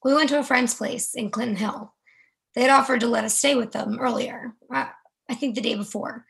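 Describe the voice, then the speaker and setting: An actress playing a woman who took shelter with friends in a high rise, recalling it in a chatty, reflective way. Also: steady tone, a little fast, vocal fry, fairly bright tone.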